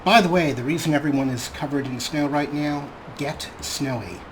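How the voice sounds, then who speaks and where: A man's voice: on the high side, moderate pace, a little hiss or hum, rough recording. A man giving casual, chatty gameplay commentary as a let's play host, with a wryly understated manner.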